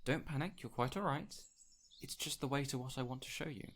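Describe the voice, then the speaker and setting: A man playing an ancient, whimsical fey spirit in an audio roleplay, speaking in a gentle, courtly, theatrical way with lingering pauses. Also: medium pitch, natural voice. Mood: gentle, coaxing. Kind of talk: audio roleplay addressed to the listener.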